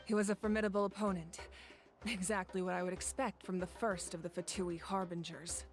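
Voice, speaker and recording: An actress voicing a composed, commanding female leader of a nation in a video game, speaking with measured authority. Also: fairly low pitch, fairly even intonation, close to an everyday voice. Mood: calm, assured. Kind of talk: scripted video game cutscene acting. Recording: prominent music under dialogue.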